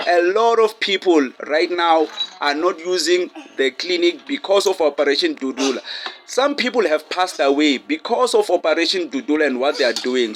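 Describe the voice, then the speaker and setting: Male vlogger, slightly high, emphatic, faint noise behind. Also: fairly bright tone. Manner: emphatic, addresses viewers as "guys". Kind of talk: casual gossip commentary.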